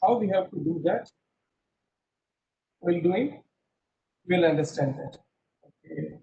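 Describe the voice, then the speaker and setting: A man's voice: sits high, takes it slowly, vocal fry, phone-quality sound, a little hiss or hum. A middle-aged man teaching an online class over a video call, quizzing students and repeating himself, mixing English with Hindi. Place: small room indoors. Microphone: laptop webcam on a video call.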